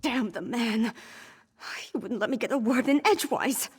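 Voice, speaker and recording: An actress playing a young princess in a fantasy video game, grieving and unsure but resolute, with an informal, self-deprecating way of talking. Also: female voice, fairly high pitch, normal speed, slight background noise, lightly acted. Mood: frustrated.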